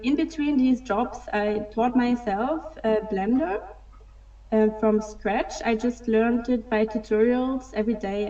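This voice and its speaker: A woman in her thirties tells her story slowly, pausing on lots of "um"s. Her voice is mellow and a little dark. It sounds distant and dull, as if she is far from the mic on a call. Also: mid-range voice. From Germany. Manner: hesitant, many "um" fillers, soft laughs. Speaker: guest, digital fashion artist. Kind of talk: casual interview answers.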